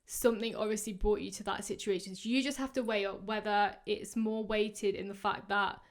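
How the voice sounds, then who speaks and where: A woman in her twenties hosting a podcast, giving casual, rambling advice full of fillers, her voice going hoarse. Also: mid-range voice, fairly even intonation, fairly quick. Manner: chatty, rambling, many fillers. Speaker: podcast host. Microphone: podcast microphone.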